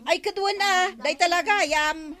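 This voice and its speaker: A woman's voice: extremely high pitch, a little slow, sharp tone, exaggerated voice. A woman with an Ilocano Filipino accent playing a no-nonsense village councillor who runs a grocery, in radio comedy style. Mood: flattered, flustered. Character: brisk, no-nonsense female village councillor running a grocery store. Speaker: radio drama actress. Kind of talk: broad radio comedy acting.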